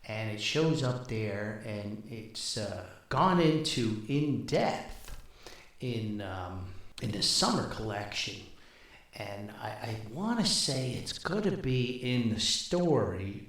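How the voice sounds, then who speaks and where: An older man telling a rambling, casual story as a podcast host, with a folksy, wry, halting manner. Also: fairly low pitch. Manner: folksy, wry, animated, halting with long asides. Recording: very echoey, reverberant room.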